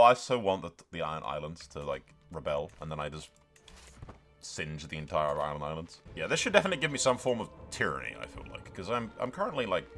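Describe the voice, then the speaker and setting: A man's voice: fairly low pitch, a bit bright. A man giving casual game roleplay commentary, slipping into dramatic narration with a jokey, sweary, theatrical manner. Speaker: gaming video creator.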